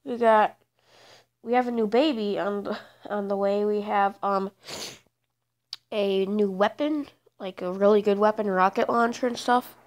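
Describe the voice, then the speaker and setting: A woman's voice: mid-range voice, steady tone, a little slow. A woman with a stuffed-up, congested voice hosting a gaming channel, giving rambling, hesitant game commentary full of fillers. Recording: loud background noise.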